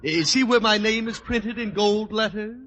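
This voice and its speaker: An actor playing a pompous, blustering middle-aged education official in a 1940s radio sitcom. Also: male voice, sits high, moderate pace, a bit bright, dull audio. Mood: curious, stiff. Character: pompous, easily indignant chubby state education official. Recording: old radio recording, faint noise.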